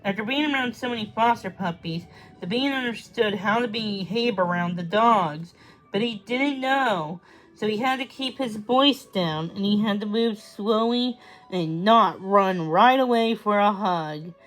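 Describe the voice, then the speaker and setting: A woman's voice: medium pitch, unhurried, fairly bright tone, rough recording. A woman narrating a children's story as a read-aloud. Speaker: storybook reader.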